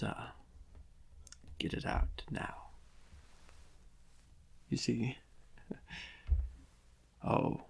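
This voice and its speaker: A man playing a smug, theatrical criminal mastermind in a soft-spoken ASMR roleplay, breathy and husky, savouring his words with pauses. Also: fairly low pitch, heavily acted. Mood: sly, boastful. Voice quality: breathy, husky, often whispered. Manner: slow, savouring, gloating, with drawn-out pauses.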